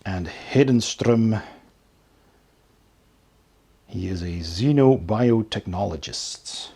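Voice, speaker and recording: A man giving casual unboxing commentary as host of a tabletop game channel, in an unhurried show-and-tell way with pauses. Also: lowish voice, expressive, gravelly, warm tone, husky.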